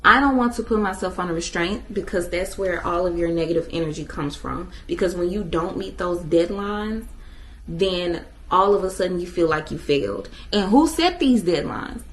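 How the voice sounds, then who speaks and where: A woman in her twenties talking to viewers in a casual motivational vlog, emphatic and animated, addressing them directly. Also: fairly low pitch, normal speed, slight background noise, poor audio. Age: 20s. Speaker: vlogger.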